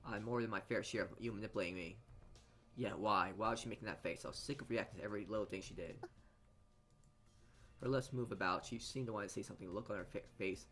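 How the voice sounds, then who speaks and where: A man reading a visual novel aloud as dramatic narration, halting often as he stumbles over and repeats words. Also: on the low side, a bit bright. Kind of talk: dramatic read-aloud narration for a let's play.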